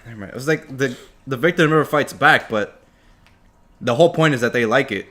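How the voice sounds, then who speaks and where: A man's voice: mid-range voice, a little fast, bright, forceful tone. A man chatting casually as a podcast co-host, reacting bluntly and swearing often.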